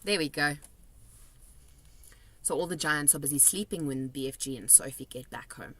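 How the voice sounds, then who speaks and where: A woman reading a children's story aloud as narrator, expressive and deliberate, with a dramatic storytelling manner. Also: low voice, husky. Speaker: storybook reader.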